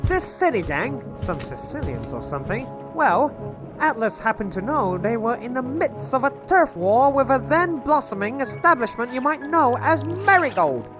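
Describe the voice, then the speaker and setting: High, very lively storyteller for a gangster radio play, wry and colloquial female actor, music underneath. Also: moderate pace. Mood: wry, eager. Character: lively storyteller recounting gangster exploits with relish. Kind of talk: scripted narration in an audio drama.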